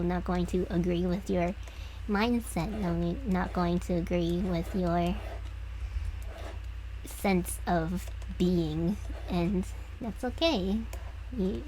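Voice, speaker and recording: Soft, hesitant young woman streamer. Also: a little hiss or hum, low-quality recording. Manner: soft, hesitant, trailing off with restarts; speaking lower and quieter than usual. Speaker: streamer drawing commissions. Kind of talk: casual reflective chat while drawing.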